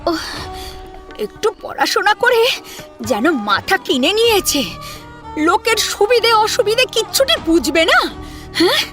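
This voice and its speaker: A woman's voice: extremely high pitch, very animated, unhurried, long pauses, fairly bright tone, soft background music, pitched above the performer's own voice, exaggerated voice. A woman voicing a resentful, nagging mother of grown sons in an audio family drama, sarcastic and complaining. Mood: bitter, sarcastic. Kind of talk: Bengali audio story drama.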